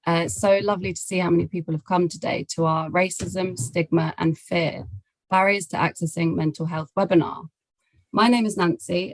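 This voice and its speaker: A woman with a slightly low voice hosts an online webinar over a video call. She gives a courteous, prepared introduction, and the sound is dry, with little room in it. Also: dry recording, poor audio. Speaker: webinar host. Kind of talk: scripted welcome and introduction.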